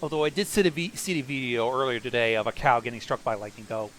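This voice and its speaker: A man's voice: medium pitch, fast, a bit bright, slight background noise, rough recording. A man co-hosting a reaction show, chatting casually and reading on-screen text aloud.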